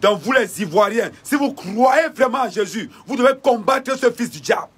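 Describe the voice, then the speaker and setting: Husky high-voiced man ranting. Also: expressive, moderate pace. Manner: repetitive preacher-like cadence with shouted bursts. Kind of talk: impassioned denunciation.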